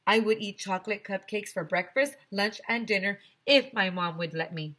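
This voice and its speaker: A woman's voice: medium pitch, moderate pace, pushed and bright. A woman teacher reading a children's story aloud expressively, with chatty asides to young listeners.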